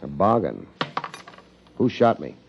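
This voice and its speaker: An actor playing a wry, hardboiled American private investigator, wisecracking drily in a 1950s radio detective drama. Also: male voice, low-pitched, big pitch swings, takes it slowly, creaky, slight background noise, heavily acted. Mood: probing. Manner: wisecracking, dry, sardonic. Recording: muffled 1950s radio recording.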